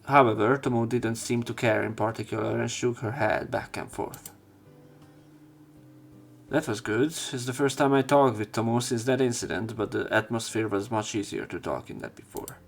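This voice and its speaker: A man's voice: medium pitch, normal speed, gravelly, faint music behind. A man narrating a visual novel as a let's player, reading its story and dialogue aloud.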